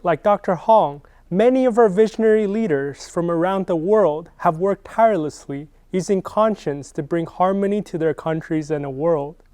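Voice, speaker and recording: A male host delivers scripted introductions in a polished, formal way. His voice is high for a man, resonant and mellow. The sound is dry and close. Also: normal speed, hardly any pauses, clear, resonant tone, no room sound. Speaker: event host. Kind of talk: scripted presenting.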